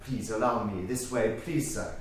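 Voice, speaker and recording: An actor playing a fussy, over-eager, courteous Quaker librarian in a literary audio drama, hesitant and repeating himself. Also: male voice, lowish voice, normal speed, reverberant, a little hiss or hum, lightly acted. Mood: eagerly dutiful.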